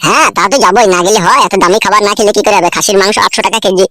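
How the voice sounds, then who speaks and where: A cheeky, gullible young village man in a cartoon acted by a man. He speaks at a fast clip, like an easygoing slacker who is easily led. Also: sits high, bright, forceful tone, no echo. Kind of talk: cartoon voice acting.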